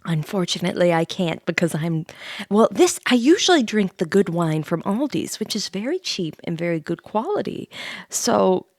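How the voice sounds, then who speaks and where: Woman, low-pitched hushed voice, creaky edges, ASMR livestream host, halting and repetitive, close dry mic. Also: very animated, no room sound. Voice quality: soft, breathy whisper mixed with hushed talk. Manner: hesitant, halting, repeats phrases. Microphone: close studio microphone, mouth sounds audible.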